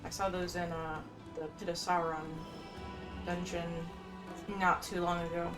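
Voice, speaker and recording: A woman's voice: on the low side, flat delivery, unhurried. A woman giving casual gameplay commentary, rambling and thinking aloud as she plays. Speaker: gaming streamer. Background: video game music.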